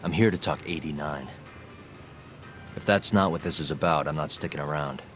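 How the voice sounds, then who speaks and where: A man's voice: very deep voice, moderate pace, a bit bright. An actor playing a cool, impatient tough guy in a video game, speaking in a dry, offhand, laconic way. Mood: curt, impatient. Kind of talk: English video game dub, dramatic dialogue. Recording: clear background music under the voice.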